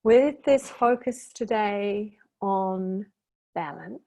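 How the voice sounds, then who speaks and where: A woman leads a guided meditation at a very unhurried pace. Her delivery is gentle and soothing, in short instructional phrases separated by long pauses. Her pitch sits in the middle of the female range. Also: lively intonation, vocal fry. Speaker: guided meditation teacher and intuitive therapist.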